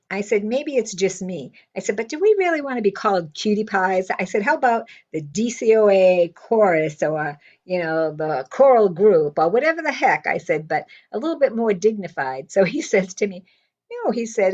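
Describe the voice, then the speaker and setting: A woman's voice: fairly low pitch, lo-fi sound. Blunt older woman telling a story. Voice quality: loud voice. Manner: lively, blunt, quotes people in her stories. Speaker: interviewee. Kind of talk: casual storytelling.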